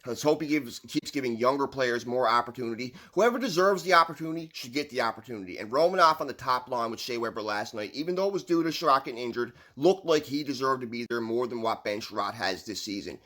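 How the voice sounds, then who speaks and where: A man's voice: mid-range voice, lively intonation, fast, hardly any pauses, pushed and bright. A man with a Newfoundland accent, giving upbeat, rambling hockey commentary on his own channel. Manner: earnest, upbeat, rambling with restarts. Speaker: hockey vlogger.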